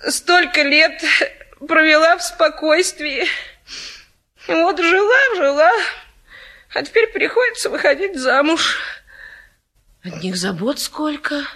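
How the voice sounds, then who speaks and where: An actress playing a coy, naive, fluttery bride-to-be in her twenties, with drawn-out, sighing theatrical delivery. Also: high voice, very animated, sharp tone, full character voice. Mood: nervous, wistful. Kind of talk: theatrical stage comedy acting.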